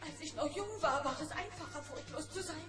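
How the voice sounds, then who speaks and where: Woman as very high-pitched, feisty granny bear. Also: fairly quick, music in the background, natural voice. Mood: wistful. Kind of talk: German children's audio play acting.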